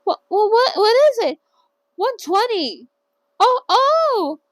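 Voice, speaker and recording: A woman's voice: very high-pitched, very animated, takes it slowly, a bit bright, dry recording, voice pushed up, heavily acted. A woman voicing a spoiled, panicky young woman who stammers and squeals. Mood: panicked.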